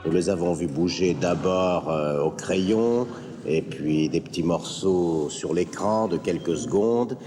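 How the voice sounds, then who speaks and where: A man's voice: low-pitched, takes it slowly, loud music behind the voice. An older man recalling past work in an interview, with background noise. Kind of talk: interview recollection. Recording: clearly noisy, clicking background.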